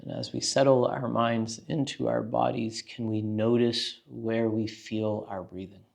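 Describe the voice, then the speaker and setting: A man leading a guided meditation as a teacher, speaking in a calm, gentle, unhurried way with long pauses. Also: mid-range voice, gravelly.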